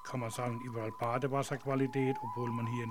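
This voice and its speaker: A man describing local waters as a guide, calm and explanatory. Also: medium pitch, fast. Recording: outdoor noise.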